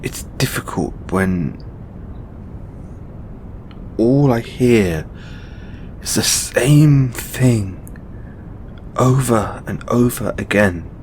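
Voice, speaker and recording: Slow, halting, weary god played by a man. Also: mid-range voice, animated, a little mellow, a little hiss or hum. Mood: weary, frustrated. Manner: halting, sighing, with drawn-out pauses. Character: weary, burdened male god confiding in his lover. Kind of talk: audio roleplay monologue, intimate dramatic acting.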